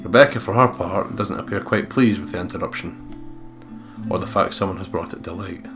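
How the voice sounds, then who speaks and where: A man's voice: deep voice, brisk. A man doing a let's play, reading game narration aloud and commenting casually, with guitar music behind him. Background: game soundtrack with guitar.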